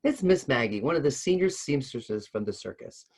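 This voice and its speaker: A man reads aloud in a storytelling style at a middling pitch. His delivery is expressive and casual, with the odd stumble over the words. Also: normal speed, breathy edge. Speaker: co-host reading a story aloud.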